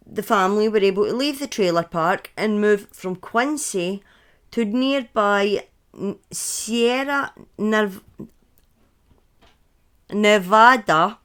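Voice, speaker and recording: A woman's voice: medium pitch, fairly bright tone, clean tone. A woman in her thirties with a Scottish accent, telling true crime stories casually on a live stream, often breaking off into chatty asides and correcting herself. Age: 30s.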